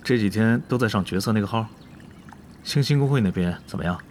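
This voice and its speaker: A man acting a cool, authoritative guild president in a Chinese radio drama, measured and stern. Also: fairly low pitch, animated, a little mellow, a little hiss or hum, lightly acted. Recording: background music under the voice.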